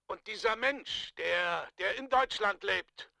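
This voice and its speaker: Imperious, gravelly high-voiced magnate. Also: male voice, takes it slowly, pushed and bright, no echo, heavily acted. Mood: cold, skeptical. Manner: commanding, coldly skeptical. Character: imperious, menacing wealthy magnate guarding his secret past. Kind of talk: dubbed film thriller dialogue.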